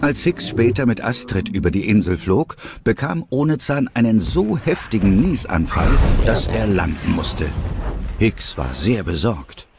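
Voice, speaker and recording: A man's voice: fairly low pitch, sing-song, measured pace, gravelly, background noise. A man narrating an audio drama from a script.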